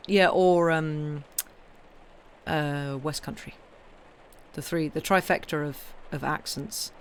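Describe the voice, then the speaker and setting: A woman's voice: low-pitched, fairly even intonation, slow. A woman chats casually into a close desk mic during a live stream, rambling a little with fillers and restarts. She sounds apologetic and self-deprecating. A faint hiss sits under her voice. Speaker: streamer reading ghost stories. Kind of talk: casual chat between stories.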